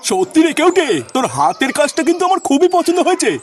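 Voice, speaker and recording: Man voicing a high-pitched, excitable cartoon schoolteacher. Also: fast talker, raised voice, background noise. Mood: impressed. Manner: exclamatory, scolding or gushing. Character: excitable, foul-mouthed male schoolteacher. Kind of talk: comic cartoon dubbing.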